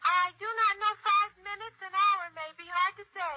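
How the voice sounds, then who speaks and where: Very high-pitched brisk switchboard operator. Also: fairly even intonation. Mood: brisk, detached. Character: brisk, unhelpful female telephone switchboard operator. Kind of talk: 1960s radio drama acting. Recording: muffled, noisy old phone-line radio effect.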